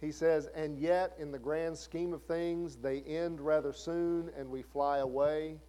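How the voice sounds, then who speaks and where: A man's voice: a little high, fairly even intonation, a little slow, mellow. A man in his fifties preaching a sermon in a conversational way, asking the listeners questions. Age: 50s. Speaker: pastor.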